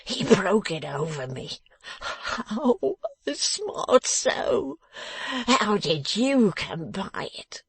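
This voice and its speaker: A pathetic, battered young gentleman from a Victorian storybook, played by a woman narrator. The voice is pitched up and strained, swinging widely as it whines and groans in drawn-out, self-pitying complaint. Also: big pitch swings, breathy edge, dry recording, exaggerated voice. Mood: aggrieved, miserable. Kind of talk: audiobook character voice from a Victorian novel.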